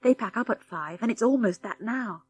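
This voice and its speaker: A practical British schoolboy played by a woman in a children's storybook adventure. He talks in a brisk, matter-of-fact way. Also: on the high side, expressive, slightly rough.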